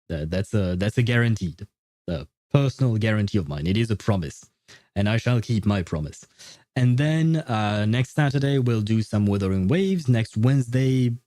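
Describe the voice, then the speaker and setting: A man's voice: fairly low pitch, mellow, no echo. A man hosting a livestream, chatting casually with viewers in a rambling, playfully teasing way. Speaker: livestream host. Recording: dry voice over faint background music.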